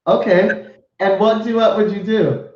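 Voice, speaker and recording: A man's voice: high-pitched, fairly even intonation, unhurried, a little mellow. A man hosting a casual live show, effusive, swearing and laughing as he talks to guests. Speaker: host. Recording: echoey lo-fi stream audio.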